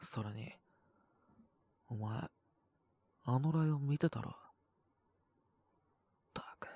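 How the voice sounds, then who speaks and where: A man's voice: medium pitch, slow, mellow, no room sound. A man voicing a sullen, possessive young wolf beastman with a cold, speaking in blunt, curt grumbles in an audio roleplay. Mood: curt, reluctant. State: sick with a cough, acted. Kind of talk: Japanese audio roleplay voice acting.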